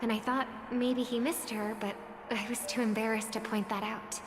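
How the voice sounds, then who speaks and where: Gentle, idealistic young songstress for a game, played by a woman: higher-pitched, measured, reverberant, over music. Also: little pitch movement, noisy background, lightly acted. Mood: wistful, reflective. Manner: measured, soft-spoken, earnest. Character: gentle, earnest young female singer, idealistic and resolute. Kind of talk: video game voice acting. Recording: long reverb, music under the voice. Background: background music.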